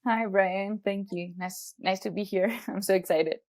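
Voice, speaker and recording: A woman's voice: mid-range voice, fairly even intonation, unhurried. A young woman from Argentina with an Argentine accent, speaking English as a guest on a podcast, laughing often and restarting her sentences. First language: Spanish. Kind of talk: casual podcast interview.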